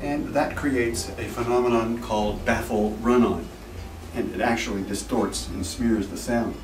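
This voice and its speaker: A man giving an informal, technical product presentation as a company representative, explaining things in a measured, slightly formal way. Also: mid-range voice. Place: echoey indoor trade show demo room. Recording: roomy, distant mic, music in background.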